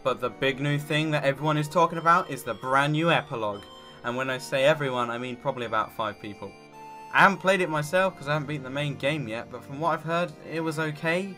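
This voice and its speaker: A man's voice: a little high, fast, pushed and bright, soft background music. A man narrating a scripted video game review with joking, sarcastic asides.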